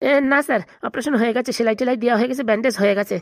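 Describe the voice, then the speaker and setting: A woman's voice: on the high side, rapid-fire, lightly acted. A cowardly hospital swindler in a comedy cartoon played by a woman, talking at breakneck speed. The comes with evasive, pleading chatter, in a dry, echo-free sound. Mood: panicked, pleading. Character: shifty, cowardly hospital swindler, easily panicked. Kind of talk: fast cartoon comedy dialogue.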